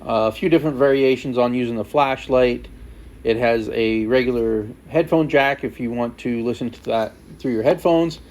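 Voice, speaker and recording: A man's voice: medium pitch, moderate pace, a little mellow. A man narrating an unboxing and review, explaining features step by step in a plain, matter-of-fact way. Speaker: product reviewer.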